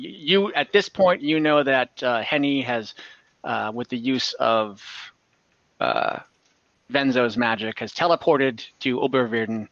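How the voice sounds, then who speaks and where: A man narrating an online tabletop game as game master, with a halting, hesitant delivery. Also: mid-range voice, fairly bright tone, no room sound. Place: indoor room, video call. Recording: muffled, dry video call audio.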